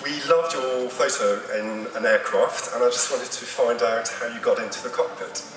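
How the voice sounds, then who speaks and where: A male radio presenter asks his question in a polite, measured way. He sounds far off, with a heavy echo and a faint hiss behind him. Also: mid-range voice. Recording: very echoey hall from far off, faint noise.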